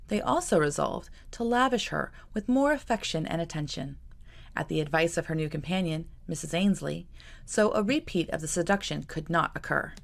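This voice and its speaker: A woman author reading her own novel aloud in a calm, measured storytelling manner. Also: low-pitched, vocal fry.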